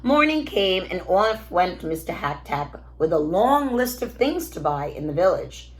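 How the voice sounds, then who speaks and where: A woman reading a children's storybook aloud as a teacher, in an expressive narrating style. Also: lowish voice, a little slow, slight background noise.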